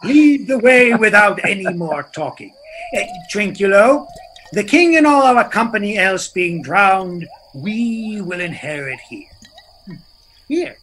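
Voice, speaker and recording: Man playing a high, pompous drunkard. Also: animated, slow pace, a bit bright, a little hiss or hum, full character voice, poor audio. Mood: tipsy command. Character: drunken, bossy, self-important man with bottle. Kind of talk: radio play acting of Shakespeare.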